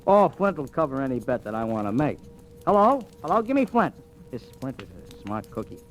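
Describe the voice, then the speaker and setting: An actor playing a brash, wisecracking bookie in slangy, needling 1940s radio drama style. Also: male voice, mid-range voice, big pitch swings, a little fast, close to an everyday voice. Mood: wary, businesslike. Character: brash, wisecracking male bookie, fast-talking and cocky. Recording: old radio recording with music.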